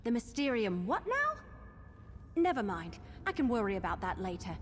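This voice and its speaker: An actress playing an eager, plucky young female adventurer in a video game, chatty, excitable and expressive. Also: a little high, normal speed, husky, full character voice. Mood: curious, wry. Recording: long hall reverb, game ambience noise.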